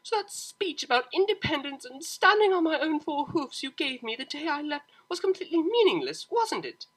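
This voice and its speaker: A woman's voice: sits high, very animated, moderate pace, a bit bright, pitched above the performer's own voice. A woman voicing a young, refined pony mare, a defensive daughter who pleads emphatically and gets flustered. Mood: hurt, accusing.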